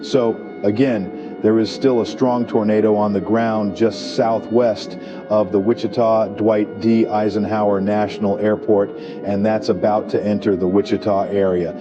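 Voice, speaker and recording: Lowish male weather anchor, dull audio, acted. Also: flat delivery, unhurried, over music, natural voice. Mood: serious, measured. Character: male TV meteorologist anchoring live tornado coverage. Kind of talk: emergency weather broadcast.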